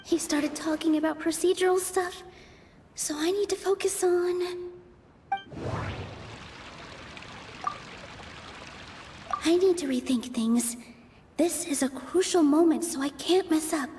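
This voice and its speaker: A woman's voice: extremely high pitch, moderate pace, warm tone, slight background noise. A woman voicing a principled, determined young female theater dancer in a video game, speaking earnestly and articulately. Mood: anxious, resolving.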